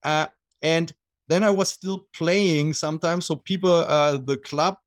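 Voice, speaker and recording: A middle-aged man with a German accent, speaking as an interview guest. His voice sits a bit high for a man and sounds dry and close, with no room around it. He talks haltingly, with fillers and restarts. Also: steady tone, no echo. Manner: hesitant, many fillers and restarts. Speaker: guest, water polo goalie coach. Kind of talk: casual interview.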